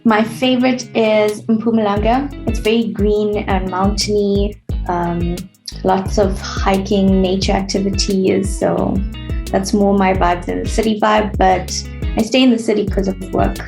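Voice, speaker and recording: A woman's voice: medium pitch, fairly even intonation, measured pace, gravelly, darkish tone, soft background music. A woman from South Africa in a casual video call chat, friendly and a little hesitant with fillers. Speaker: program participant.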